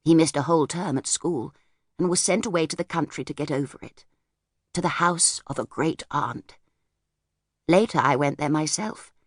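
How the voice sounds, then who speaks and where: A woman voicing a reserved, wistful older lady in an audiobook, speaking gently and hesitantly as she looks back. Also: low-pitched, normal speed, husky, dry recording, studio quality. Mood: reminiscing. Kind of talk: audiobook character voice.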